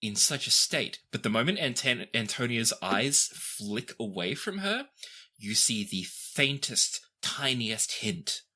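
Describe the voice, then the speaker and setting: A woman game master with a very deep voice narrates an improvised tabletop story. Her delivery is animated and bright. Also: slow, slightly rough.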